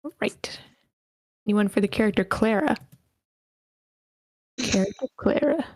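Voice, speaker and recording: A woman's voice: mid-range voice, a little slow, a little mellow, no echo. A woman casually hosting an online table read and organizing the readers. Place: online meeting.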